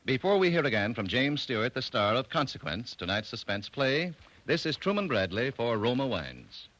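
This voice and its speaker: A man's voice: medium pitch, sing-song, moderate pace, fairly bright tone, low-quality recording. A man announcing and selling for a sponsor in 1940s radio style, polished and emphatic. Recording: vintage radio broadcast.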